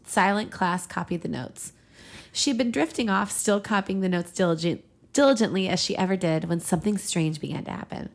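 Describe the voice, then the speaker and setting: Woman podcaster reading fanfic out loud, lots of vocal fry, chatty and giggly. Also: mid-range voice. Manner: giggly, breaking into laughter mid-reading. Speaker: podcast co-host and reader. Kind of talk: reading fan fiction aloud with casual banter.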